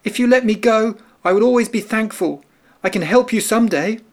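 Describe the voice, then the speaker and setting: A small, timid storybook mouse with a high, squeaky voice, put on by a man reading aloud. He talks quickly and politely, always eager to please. Each line is a little louder than the narration around it. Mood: eager pleading.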